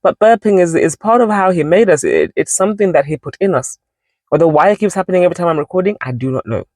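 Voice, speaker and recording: A woman's voice: lowish voice, big pitch swings, quick pace, darkish tone, no room sound. A woman in her forties hosting a casual devotional talk, rambling and correcting herself as she goes. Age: 40s. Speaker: Bible study channel host.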